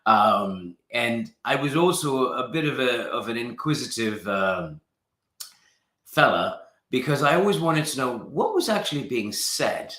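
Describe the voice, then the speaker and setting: A man's voice: medium pitch, lively intonation, measured pace, a bit bright, reverberant. A young man from Pakistan with a well-traveled British accent, chatting as a guest in an interview, hesitant with many restarts. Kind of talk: casual interview chat.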